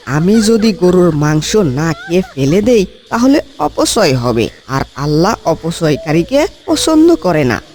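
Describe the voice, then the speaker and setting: A man's voice: high-pitched, darkish tone. A man voicing a brazen cartoon cattle thief who argues in mock-pious earnest, smugly justifying himself. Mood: pious self-justifying. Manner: argumentative, mock-earnest reasoning. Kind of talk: comic cartoon dialogue.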